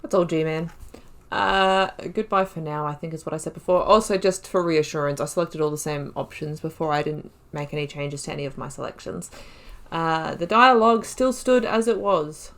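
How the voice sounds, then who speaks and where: A young woman hosting a casual let's-play, chatting excitably and talking to herself while she plays. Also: deep voice, moderate pace. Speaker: gaming channel host.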